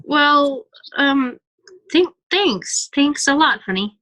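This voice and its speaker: A woman's voice: high-pitched, lively intonation, takes it slowly, pushed and bright, full character voice, rough recording. A woman playing a polite, indulgent suburban mother in a radio play. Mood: taken aback.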